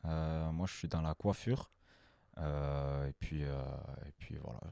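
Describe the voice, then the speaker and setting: Young guy in his twenties, very low mellow voice, easygoing and plain-spoken. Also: a little slow, clean tone, no echo, clean studio sound. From France. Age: 20s. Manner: relaxed, simple, with fillers. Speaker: guest, hairdresser. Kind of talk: casual interview answers.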